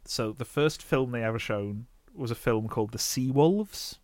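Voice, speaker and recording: A man's voice: mid-range voice, moderate pace, darkish tone, a little hiss or hum. A man co-hosting a podcast, sharing trivia in casual chat and laughing at his own jokes. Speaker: podcast co-host.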